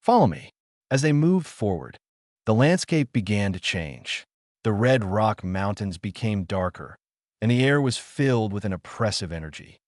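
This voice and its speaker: A man narrates a scripted story in a low voice. His delivery is animated and swings widely in pitch. The sound is close and dry, as in an audiobook. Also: normal speed, no room sound.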